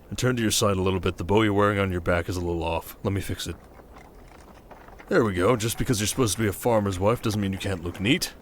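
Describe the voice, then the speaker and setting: Man acting as a proud low-voiced king over noisy ambience. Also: natural voice. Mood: low, tender teasing. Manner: commanding, sardonic. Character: proud, protective young warrior king and devoted husband. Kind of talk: dramatic fantasy ASMR roleplay.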